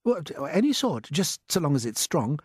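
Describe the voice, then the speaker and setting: A man's voice: on the high side, big pitch swings, normal speed, breathy edge, no echo, clean studio sound, pitched above the performer's own voice. A man voicing an earnest, determined, polite young boy in a children's story. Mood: apologetic.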